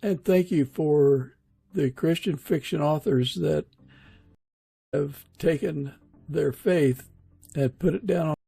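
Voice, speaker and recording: A man's voice: a little high, slow pace, gravelly, long pauses, dark tone, breathy edge, no echo. A man speaking informally and earnestly as the host of an interview podcast. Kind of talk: casual interview and informal prayer.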